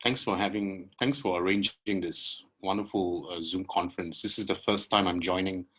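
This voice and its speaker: A middle-aged man from Singapore joins a webinar on his laptop camera, his voice very deep. He speaks earnestly but haltingly, pausing often on uh as he builds his point. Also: steady tone, fairly bright tone, poor audio. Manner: halting, many uh fillers, earnest. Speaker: webinar participant. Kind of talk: spoken comment on a video call. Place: indoor room at home. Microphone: laptop webcam video call.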